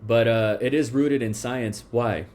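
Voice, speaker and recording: A man's voice: mid-range voice, steady tone, measured pace, a little mellow. A man hosting a podcast interview, asking long questions with many restarts and fillers. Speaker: podcast host. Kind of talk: casual interview.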